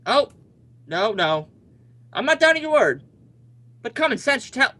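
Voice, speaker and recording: A man's voice: very high voice, big pitch swings, a little slow, bright, forceful tone, exaggerated voice. A male actor playing a brash, stubborn young husband in an old-time radio comedy, emphatic and blustering. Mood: flustered.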